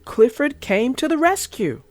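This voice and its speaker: A woman children's book narrator speaking expressively and deliberately, as if to young children. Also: sits high, sing-song, darkish tone, no echo, soft background music. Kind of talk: storybook read-aloud and casual chat.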